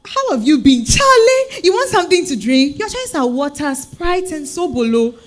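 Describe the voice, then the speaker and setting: A woman playing a sassy, outspoken Ghanaian career woman in a stage reading, expressive and teasing, with a Ghanaian accent. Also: high-pitched, moderate pace. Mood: friendly, lively.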